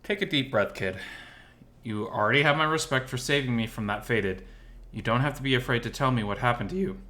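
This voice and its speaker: Man acting a guarded male apocalypse survivor, confident, direct, bright firm voice, visual novel hero. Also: mid-range voice, a little fast, natural voice. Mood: kind but direct. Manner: direct, self-assured.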